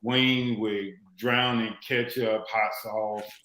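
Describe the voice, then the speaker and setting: A man telling a story in casual, animated chat on a talk show. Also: fairly low pitch, slow pace, echoey room, low-quality recording. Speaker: co-host.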